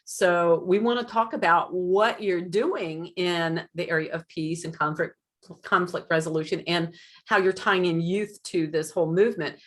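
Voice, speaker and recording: Middle-aged woman host on a webcam call, low voice, friendly and chatty. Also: fairly even intonation, moderate pace, fairly bright tone. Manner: friendly, chatty, builds long lead-in questions. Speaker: podcast host. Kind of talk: video-call interview. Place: home room with a light blue wall. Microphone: video call webcam.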